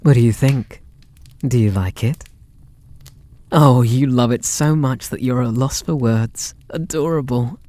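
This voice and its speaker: An actor with a British accent playing a possessive, lovestruck kidnapper in an ASMR roleplay, sweet and doting in a theatrical, intimate way. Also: male voice, mid-range voice, measured pace, mellow, close to an everyday voice. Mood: doting, excited. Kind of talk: ASMR roleplay audio drama.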